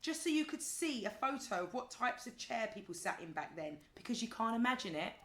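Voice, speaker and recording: An actress playing an exasperated, sarcastic, sweary office colleague in an audio drama, wordy and withering. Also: female voice, fairly high pitch, sing-song, fairly quick, a bit bright, reverberant. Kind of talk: naturalistic audio drama acting.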